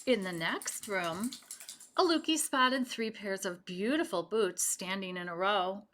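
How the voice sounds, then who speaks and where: A woman's voice: mid-range voice, unhurried, a bit bright, a little hiss or hum. A woman reading a picture book aloud to children, with chatty explanations and questions for the young listeners. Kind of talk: read-aloud storytelling with chatty asides.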